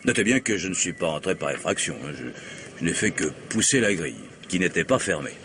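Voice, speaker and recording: A sardonic vagabond in a vintage radio play, who mocks everyone he meets with a wry, knowing laugh. His voice sits very low and deep. A faint hiss runs under the old recording. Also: expressive, fast talker, fairly bright tone. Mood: wary. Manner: mocking, wry, laughs at others. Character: sardonic cynical male vagabond tramp. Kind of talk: vintage radio drama acting.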